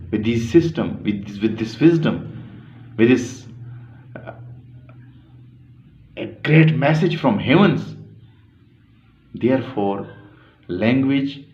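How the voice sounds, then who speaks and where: A man delivers an academic lecture at an unhurried, measured pace, breaking phrases with pauses and small self-corrections. His voice sits at a middle pitch. The recording is dull and lo-fi, with a noticeable room echo. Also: a little mellow. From Pakistan. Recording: echoey room, dull lo-fi sound.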